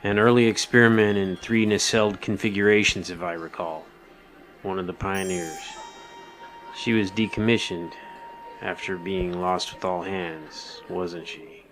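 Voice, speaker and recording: Man acting a measured starship captain, music underneath. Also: low-pitched, steady tone, fairly bright tone. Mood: matter-of-fact. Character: seasoned male starship captain recounting his missions, measured and modest. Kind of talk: audio drama acting, storytelling.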